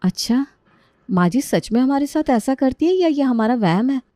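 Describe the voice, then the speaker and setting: A woman's voice: sits high, lively intonation, moderate pace, warm tone, dry recording, clean studio sound, voice pushed up. A woman playing a naive, trusting young daughter-in-law in a story, mild and doubting in manner. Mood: doubtful.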